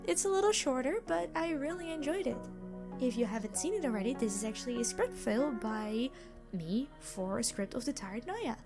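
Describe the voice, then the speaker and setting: Friendly, high-voiced woman chatting. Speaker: roleplay audio creator. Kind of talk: casual channel sign-off to listeners.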